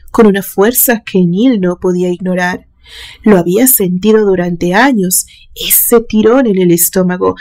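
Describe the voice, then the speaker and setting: A woman reading a literary suspense story aloud in a measured, dramatic storytelling manner. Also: medium pitch, dark tone. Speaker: narrator reading a horror story.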